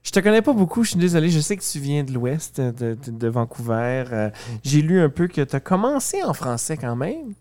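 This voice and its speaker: A woman's voice: sits low, sing-song, moderate pace, hardly any pauses, mellow. A woman hosting a backstage interview, asking chatty questions. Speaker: interviewer, host.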